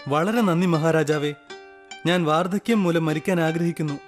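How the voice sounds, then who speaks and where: Guy doing a witty cartoon court jester, high voice, calm and sure of himself, bit of music behind. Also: moderate pace, natural voice. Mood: composed. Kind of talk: animated children's story dialogue.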